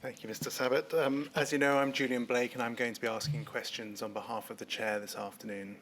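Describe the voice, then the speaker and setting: A man's voice: mid-range voice, flat delivery, brisk, fairly bright tone, slight background noise. A man serving as counsel who questions a witness at a formal hearing in a polite, courteous way, with frequent ums.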